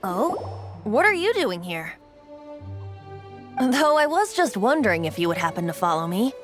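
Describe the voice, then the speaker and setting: A woman voicing a playful, secretive young male bard in a video game cutscene, talking in a lilting, teasing way. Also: fairly high pitch. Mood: curious. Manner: lilting, teasing, then soft and solemn. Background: game soundtrack music.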